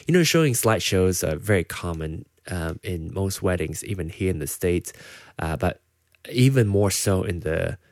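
A man with a Malaysian English accent tinged with American, talking alone as the host of his own show. His voice sits deep and low, and he wanders through his thoughts with plenty of ums and restarts. The sound is close and dry. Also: animated, moderate pace, a little mellow, no echo. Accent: Malaysian English, partly Americanized. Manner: rambling, with many fillers and restarts. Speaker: podcast host. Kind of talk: casual solo chat.

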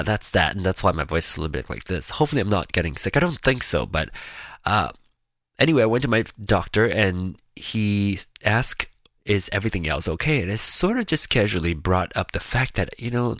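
A man with a very low voice talks in a loose, rambling way, stopping and restarting his sentences. He sounds nasal and congested, and his voice is a little raspy and gravelly. The sound is dry and close, with no room echo. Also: fairly bright tone. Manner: rambling, chatty, with frequent restarts. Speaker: vlogger. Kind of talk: casual personal monologue to camera.

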